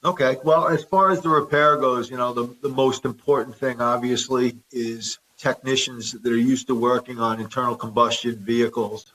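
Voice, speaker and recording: A man's voice: mid-range voice, fairly even intonation, normal speed, hardly any pauses, a bit bright, rough recording. A middle-aged man with a New York accent, talking as a guest from his repair shop. He is practical and plain-spoken, often doubling words while he thinks. There is faint noise behind his remote line. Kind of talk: remote interview.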